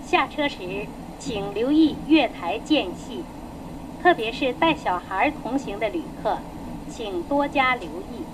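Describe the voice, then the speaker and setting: Female recorded train announcer, high register, very slow delivery, clear vehicle noise behind. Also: animated. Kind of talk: pre-recorded scripted announcement. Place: played over speakers inside moving train. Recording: noisy train carriage speaker.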